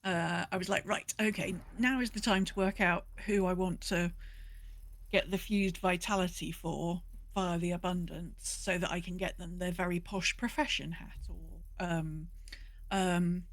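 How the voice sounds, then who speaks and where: A woman's voice: fairly low pitch, fairly even intonation, unhurried, no room sound. A woman hosting a casual gaming podcast, talking in a rambling way and often interrupting herself. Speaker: podcast host.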